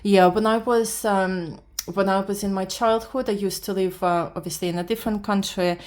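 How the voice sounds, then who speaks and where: A woman from Latvia whose first language is Russian, speaking with a Russian accent and just woken up. She talks her way through a drawing lesson in a halting manner, restarting often and reading the instructions aloud. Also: medium pitch, fairly even intonation, a little mellow. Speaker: beginner art vlogger. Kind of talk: casual drawing tutorial.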